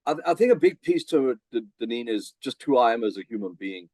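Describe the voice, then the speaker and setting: Energetic South African man, dry sound. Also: medium pitch, fast talker, no echo. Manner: energetic, many fillers and restarts. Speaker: podcast guest, business coach. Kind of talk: casual interview chat.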